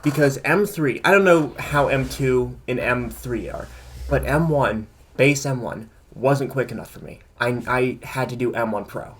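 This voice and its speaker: A man's voice: mid-range voice, measured pace, husky. A man co-hosting a casual tech podcast, opinionated and rambling as he thinks aloud. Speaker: podcast co-host.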